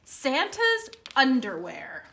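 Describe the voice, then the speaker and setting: A woman reading a children's picture book aloud as a storytime host, with expressive, dramatic emphasis and a way of speaking directly to young listeners. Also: high-pitched, slow pace, creaky.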